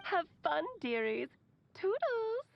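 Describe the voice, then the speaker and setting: A woman's voice: very high-pitched, very animated, slow pace, gravelly, exaggerated voice. A woman playing a breezy, playful witch in a video game, talking in a teasing sing-song as if to children on a recorded message. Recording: dull lo-fi playback with faint noise.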